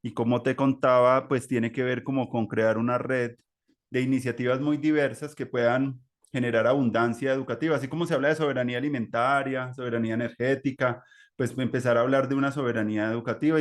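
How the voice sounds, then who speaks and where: Colombian man hosting, meandering and conversational. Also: medium pitch. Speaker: interview host. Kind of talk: conversational interview, reflective.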